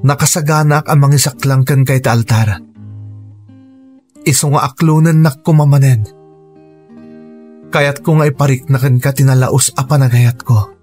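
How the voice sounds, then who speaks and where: A man's voice: medium pitch, steady tone, moderate pace, long pauses, darkish tone, soft background music, natural voice. An actor playing a lovelorn man who pleads earnestly for a woman's love in a radio drama. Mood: earnest pleading. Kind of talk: Ilocano radio drama acting.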